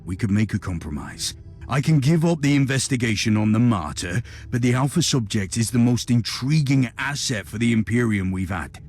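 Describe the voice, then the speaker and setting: A man acting a commanding inquisitor in a dark sci-fi game cutscene. He speaks formally and with measured authority, his intonation swinging widely. Faint music runs behind him. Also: medium pitch, lively intonation, close to an everyday voice. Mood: defiant, negotiating. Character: commanding, calculating male inquisitor of a grim sci-fi empire. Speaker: game character.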